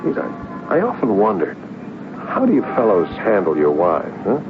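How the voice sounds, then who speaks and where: An actor playing a troubled, down-to-earth secret agent in a radio drama, talking in a halting, conversational way. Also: male voice, fairly low pitch, wildly swooping pitch. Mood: bewildered. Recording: muffled, hissy old radio broadcast.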